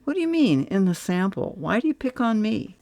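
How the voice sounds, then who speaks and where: A woman's voice: mid-range voice, sing-song, brisk, a little mellow, dry recording, studio quality. A woman playing an impatient, suspicious housewife, grumbling and challenging in a scripted training-film role play. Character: impatient, suspicious housewife answering a census taker.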